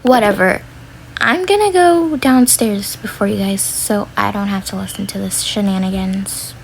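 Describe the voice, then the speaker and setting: A woman's voice: mid-range voice, normal speed, creaky, voice pushed down, close to an everyday voice. Woman as exasperated older sibling, noisy recording. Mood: scornful. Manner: annoyed, scolding.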